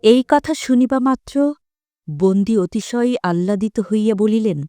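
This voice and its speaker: A woman narrating an audio drama from a script in formal, measured literary language. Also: on the high side, mellow. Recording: music behind the voice.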